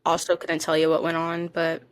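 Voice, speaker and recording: A woman from Florida co-hosting a podcast, talking casually and excitably about TV shows to her listeners. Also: low-pitched, fairly quick. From Florida, United States. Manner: chatty, excitable, addresses listeners as "guys". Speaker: podcast co-host.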